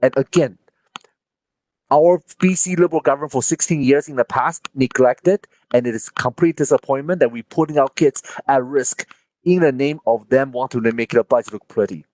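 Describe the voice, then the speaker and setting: A man's voice: mid-range voice, normal speed, darkish tone, dry recording, rough recording. A man giving an emphatic campaign speech as a candidate, lifting his voice on key points. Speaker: political candidate. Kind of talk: campaign speech at online panel.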